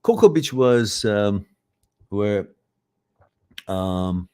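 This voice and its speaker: A man's voice: lowish voice, lively intonation, very slow, a little mellow, smooth. A middle-aged man, a Farsi speaker, hosting a live advice stream and reading out viewer questions, rambling and often correcting himself. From Iran. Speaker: host.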